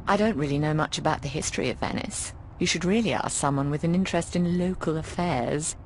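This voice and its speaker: A woman's voice: low-pitched, a little fast, slight background noise. An actress playing a worldly, teasing middle-aged landlady in a video game, breezy and wry, with a theatrical drawl. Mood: breezy, informative. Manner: theatrical, drawling, calls people darling. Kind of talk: video game dialogue acting.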